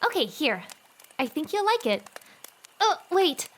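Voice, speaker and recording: A woman playing a cheerful, caring teenage girl, chatty and gently chiding, in an anime-style audio drama. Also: high voice, very animated, measured pace. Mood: playful, flustered. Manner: chatty, playful, gently scolding.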